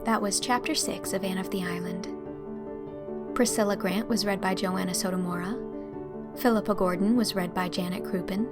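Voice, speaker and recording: A woman's voice: medium pitch, steady tone, normal speed, over loud music. A woman narrator reading scripted literary fiction as an audiobook.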